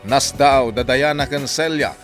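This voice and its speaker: A man's voice: mid-range voice, steady tone, unhurried, a bit bright. A man with an Ilocano accent narrates a scripted radio drama recap in a dramatic style. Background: music.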